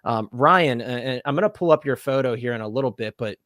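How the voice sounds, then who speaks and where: A man's voice: medium pitch, animated, fast talker, a bit bright, no echo, clean studio sound. A man hosting a casual toy-collecting podcast, speaking earnestly with frequent fillers and careful hedging. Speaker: podcast host.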